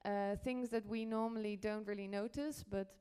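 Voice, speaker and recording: A woman from the Netherlands speaks as an editor presenting on a conference panel. Her voice is smooth and clear, at a medium pitch. She explains in a halting way, pausing and rewording as she goes. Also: fairly even intonation, mellow.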